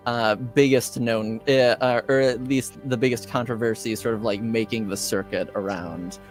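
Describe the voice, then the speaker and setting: A man improvising as game master in a tabletop roleplaying game, hesitant and halting as he searches for words. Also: medium pitch, steady tone, soft background music.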